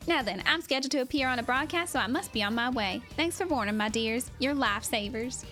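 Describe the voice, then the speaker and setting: A high, showy female voice put on by a woman for a vain celebrity fighter in a game. She drawls with theatrical, genteel sweetness, every bit the adored star. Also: expressive, fast, bright, forceful tone, faint music behind. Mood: proud.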